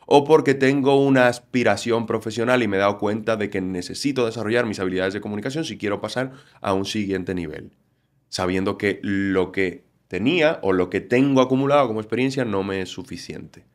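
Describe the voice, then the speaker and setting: Animated Dominican man with a Galician accent. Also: lowish voice, brisk. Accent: Galician-tinged Spanish. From Dominican Republic. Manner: animated, explanatory, acts out quotes. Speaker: communication trainer, guest. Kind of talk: podcast interview.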